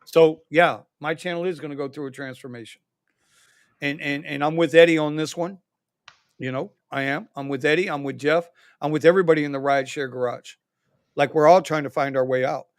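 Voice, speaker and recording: A man hosting a casual livestream chat, reading viewer comments aloud in an animated way. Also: on the high side, fairly quick, no room sound, clean studio sound. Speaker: livestream host.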